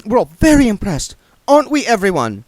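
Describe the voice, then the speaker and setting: A bossy young woman springs from the page, her voice pitched up high and full of brisk urgency, as a male narrator puts her on. The sound is close and dry, with no room around it. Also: wildly swooping pitch, no room sound. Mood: coaxing.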